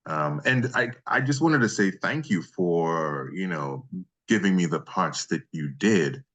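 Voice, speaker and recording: A man with a deep bass voice, speaking casually and effusively as a voice actor being interviewed. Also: expressive, a little slow, a bit bright.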